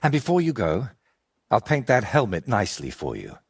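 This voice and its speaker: A world-weary, eccentric wandering painter from a medieval game. His voice is very low and rough, with huge swings in pitch. He talks in a theatrical, teasing way and dodges with riddles. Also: huge pitch swings, moderate pace, heavily acted. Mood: warm, grateful. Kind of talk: acted dialogue in a medieval video game.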